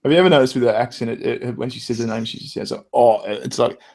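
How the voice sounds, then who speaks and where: A man's voice: medium pitch, fast, a little mellow. An Australian man chatting casually as a host. He swears and laughs freely between his remarks. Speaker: podcast host. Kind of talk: casual chat.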